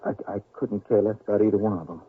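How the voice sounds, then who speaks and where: An actor in a radio drama playing a defiant, hot-headed young backwoods son with a blunt, folksy, agitated way of talking. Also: male voice, fairly low pitch, fairly even intonation, fast talker, lightly acted. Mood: angry, defiant. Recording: muffled old radio broadcast, faint noise.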